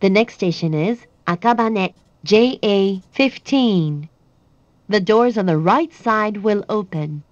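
A woman giving clear recorded English announcements for a train. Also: mid-range voice, lively intonation, very slow, no room sound. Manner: clear, evenly paced announcer delivery. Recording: dull dry sound over train speakers.

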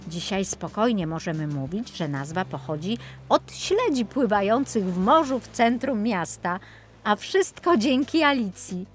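A woman narrates a children's tale with playful expression. Her medium-pitched voice swoops and dips with lively intonation. Soft music plays behind her. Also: unhurried. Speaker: storyteller narrator. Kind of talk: scripted children's story narration.

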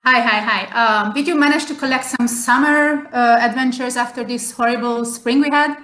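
A woman hosts an online interview from a plain room at home. She speaks carefully, pausing on fillers and restarting her phrases. The call sound bounces around a roomy space. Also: fairly high pitch, fairly even intonation, a bit bright. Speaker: host. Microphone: video call. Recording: echoey room on a video call.

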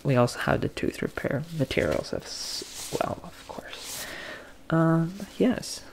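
A young man doing a soft-spoken, often whispered ASMR dentist roleplay, halting and gently reassuring, speaking close through a face mask. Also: fairly high pitch, huge pitch swings, gravelly, slight background noise, rough recording. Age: young adult. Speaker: ASMR performer playing a dentist. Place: small plain room indoors. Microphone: close to the mic, facing camera, through a face mask.

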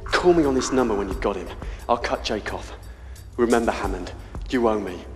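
A man's voice: on the low side, a little fast, lightly acted. A man playing a hard-bitten suspended detective in a video game, terse and commanding. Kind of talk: video game cutscene acting. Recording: echoey reverb with music over it.

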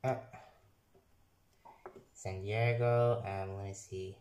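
A young man who is not a native English speaker, casually reviewing software on his computer and reading text aloud haltingly, restarting and correcting words. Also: low voice, fairly even intonation, reverberant. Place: room at a computer.

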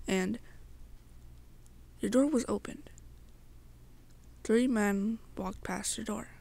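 A young woman narrates an ASMR roleplay story to the listener at a very unhurried pace. Her delivery is soft and halting, broken into short phrases, with a noticeable creak in the voice. The pitch sits in an average range for a woman. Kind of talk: slow second-person ASMR storytelling.

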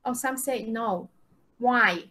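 A woman's voice: fairly high pitch, takes it slowly, sharp tone. A woman teaching an English lesson on a video call, checking understanding with short questions. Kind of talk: interactive online lesson.

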